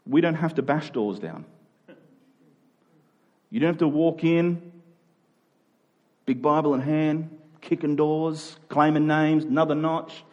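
A man's voice: a little high, slow pace, pauses often, lo-fi sound. A man preaching a conversational sermon in an informal but emphatic way, repeating key phrases to drive them home.

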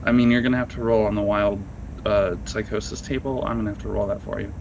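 A man's voice: fairly low pitch, steady tone, fast, gravelly, darkish tone, highs cut off, slight background noise. A man running a tabletop role-playing game, improvising narration in a casual, conversational way and reacting to his players. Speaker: game master.

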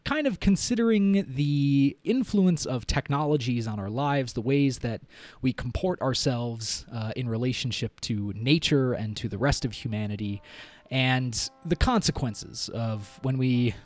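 A man's voice: mid-range voice, unhurried, a little mellow, no room sound. A man speaking as a podcast host and narrator, presenting literature and audio drama.

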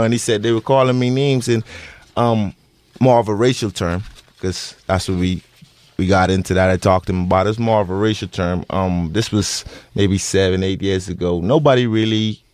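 A man in his thirties with a Bahamian accent, answering interview questions earnestly with many pauses and restarts. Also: fairly low pitch, darkish tone, dry recording. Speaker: interviewee, parent.

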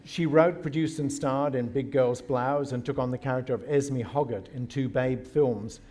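A man's voice: medium pitch, steady tone, normal speed, darkish tone. A man of Scottish descent hosting a talk, introducing his guest and then asking her questions, with light laughs. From Scotland. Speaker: host.

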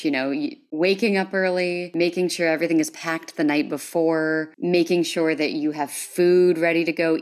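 A woman hosting a podcast, speaking casually and chattily in a conversational interview. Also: sits low. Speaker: podcast host.

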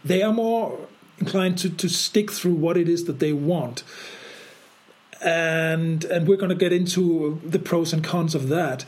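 A man from Denmark co-hosting a casual podcast, talking haltingly with many repeated words and restarts. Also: on the high side, a little mellow. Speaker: podcast co-host.

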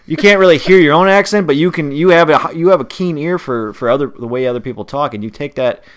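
A man with a slight Wisconsin accent, co-hosting a podcast in casual chat with many restarts. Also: a little high. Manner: conversational, halting with restarts. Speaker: podcast co-host.

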